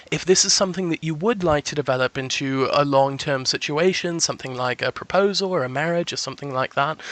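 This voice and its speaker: A man's voice: on the high side, a bit bright. A man working as a psychic, talking in an effusive, reassuring, salesman-like way as he gives a conversational reading. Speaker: psychic giving a sample reading. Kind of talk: conversational phone reading for an audience.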